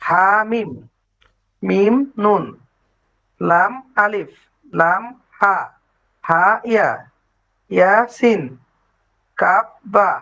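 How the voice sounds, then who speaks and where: A student slowly reciting pairs of Arabic letters back to the teacher in an online class. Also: mid-range voice, gravelly, pushed and bright, dull audio. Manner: slow, halting recitation.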